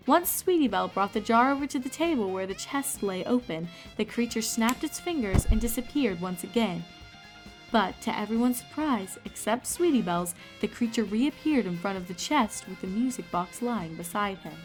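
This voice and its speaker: A woman's voice: a little high, lively intonation, normal speed, soft background music, low-quality recording. A woman reading scripted story narration as the narrator of a fan fiction.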